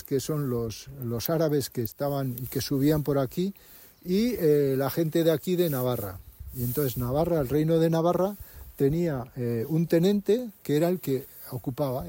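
Mellow halting local guide, male. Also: a little high. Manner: explanatory, halting, with many fillers and restarts. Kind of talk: explaining history and landscape on a guided visit.

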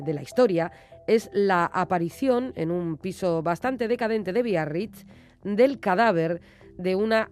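Low-pitched woman presenting a book review. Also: a little mellow. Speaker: radio book show host and reviewer. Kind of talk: semi-scripted book review narration.

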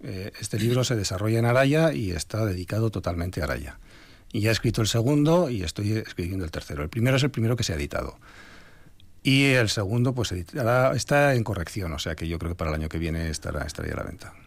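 An older Spanish man, an author being interviewed, talking in a reflective, measured way with some hesitations. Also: fairly low pitch, lively intonation, dry recording. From Spain. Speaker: author guest. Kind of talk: radio interview.

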